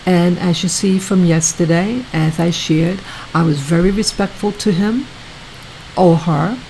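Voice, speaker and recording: A woman hosting her own video channel, talking casually to her viewers in a soft, soothing voice, halting often and repeating herself. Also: fairly low pitch, slight background noise, low-quality recording. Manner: slow, repetitive, halting with many restarts. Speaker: YouTube channel host.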